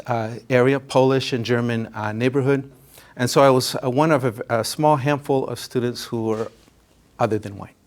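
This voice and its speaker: A middle-aged man from Ecuador whose first language is Spanish, a college counselor telling his own story in a presentation, earnest, with many fillers and restarts. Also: medium pitch.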